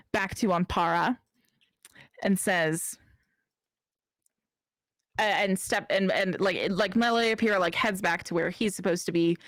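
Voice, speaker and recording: Slow, low-voiced woman game master. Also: dry recording, studio quality. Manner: slow, deliberate narration with frequent fillers. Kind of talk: narrating a tabletop role-playing game.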